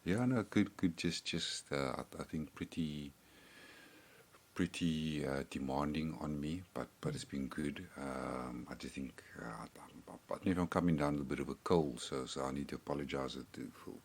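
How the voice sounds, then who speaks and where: A man's voice: a bass voice, normal speed. A man from South Africa with a South African accent, chatting casually on a podcast in a rambling way, often repeating words. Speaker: podcast guest and co-host. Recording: remote call.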